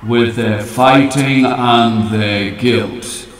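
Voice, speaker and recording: An ancient, all-knowing old man of a planet, as a man acts him out for an audio story. He speaks slowly and solemnly, with a grave impatience. The voice sounds distant and heavily echoed, over faint music. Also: mid-range voice, steady tone, slight background noise. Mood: probing, solemn. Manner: slow, deliberate, solemn.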